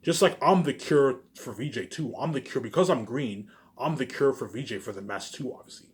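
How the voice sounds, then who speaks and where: Fast-talking man, boastful and repetitive. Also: mid-range voice. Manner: repetitive, circling back over the same phrases; boastful, self-aggrandizing. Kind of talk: rambling informal monologue to viewers.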